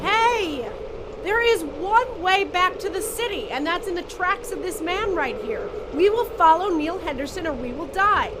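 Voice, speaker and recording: A firm but caring woman expedition leader, with a very high, animated voice. She speaks earnestly and with command in an audio drama, over clear background noise. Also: a little slow.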